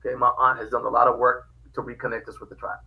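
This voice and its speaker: A man from Liberia, a podcast guest telling his family history in a lively, casual way, often laughing. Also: mid-range voice, rapid-fire, vocal fry, phone-quality sound. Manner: animated, laughs often, quotes dialogue. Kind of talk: casual storytelling.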